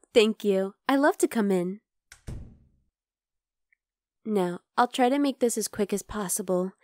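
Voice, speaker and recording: A woman's voice: mid-range voice, no room sound, natural voice. Coyly menacing vampire girl, acted by a woman, close and dry. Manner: conversational, teasing, coaxing, with soft asides. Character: obsessive vampire woman lab worker, chatty, coyly menacing. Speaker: ASMR roleplay voice performer. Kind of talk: ASMR roleplay monologue addressed to the listener.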